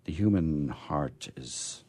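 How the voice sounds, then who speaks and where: A man reading poetry aloud in a measured way and commenting on it casually, as on a radio program. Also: extremely low pitch, expressive, dark tone.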